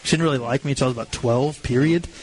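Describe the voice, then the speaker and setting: A man's voice: medium pitch, rapid-fire, a little mellow, slight background noise, rough recording. An American man in his twenties telling stories in a casual, self-deprecating way as a guest on a radio show. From Missouri, United States. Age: 20s. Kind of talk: casual chat, personal storytelling.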